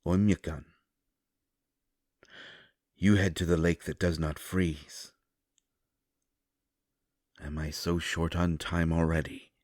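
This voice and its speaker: A man playing a gentle, solemn otherworldly seer in an audio roleplay, speaking in measured, formal phrases with sorrowful reassurance. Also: deep voice, level tone, no room sound, studio quality. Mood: calm, reassuring. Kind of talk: scripted audio roleplay, comforting.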